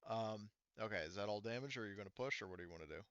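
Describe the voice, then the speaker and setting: A man's voice: lowish voice, racing, a bit bright, no room sound, highs cut off. A man casually narrating a tabletop game as game master, thinking aloud through the rules in an informal way.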